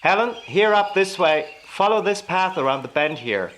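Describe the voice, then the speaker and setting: Smoothly menacing husband played by a male actor, animated swooping delivery. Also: sits high, moderate pace, a little hiss or hum, low-quality recording. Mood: calling out. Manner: teasing, theatrical musing. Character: jealous husband, smoothly menacing, playfully taunting his wife. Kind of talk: radio play acting.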